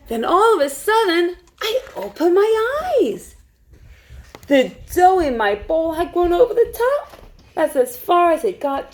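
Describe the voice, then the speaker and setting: Animated high-voiced woman reading a children's story. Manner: expressive, hushed suspense, asks listeners questions. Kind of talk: animated read-aloud of a rhyming picture book.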